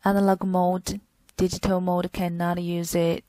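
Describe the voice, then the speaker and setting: A woman's voice: on the low side, level tone, a little slow, warm tone, no echo, studio quality. A woman reading scripted product demo narration in a stilted, word-by-word manner.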